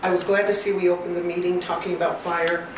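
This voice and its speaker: A woman with a loud voice giving a public comment at a meeting, earnest, detailed and persistent. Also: fairly low pitch, little pitch movement, fast. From Northern California. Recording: echoey hall, far from mic, noisy.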